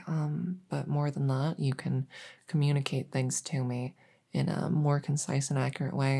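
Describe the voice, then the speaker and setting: A woman playing a gentle, reassuring veterinarian in a soft-spoken ASMR roleplay, hesitant and soothing as she explains. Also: very deep voice, gravelly, exaggerated voice. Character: gentle, reassuring female veterinarian examining a werewolf patient. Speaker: audio roleplay performer.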